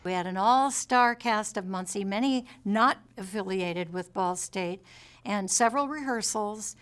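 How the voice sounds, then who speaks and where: A woman recounts her experience in a documentary interview. Her pitch is average. She tells it in measured phrases with clear emphasis.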